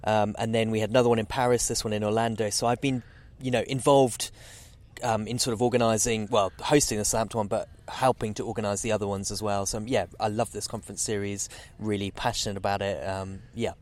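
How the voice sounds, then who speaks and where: Man, 40s, low voice, rambling, many fillers. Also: flat delivery, fast talker, darkish tone. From Zambia. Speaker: guest oceanographer. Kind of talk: casual interview.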